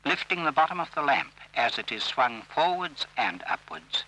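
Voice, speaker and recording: A man reading scripted instructional narration for an old training film, in a formal, measured and instructive manner. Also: medium pitch, sing-song, sharp tone. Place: voice-over recorded for film. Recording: old scratchy railway training film soundtrack.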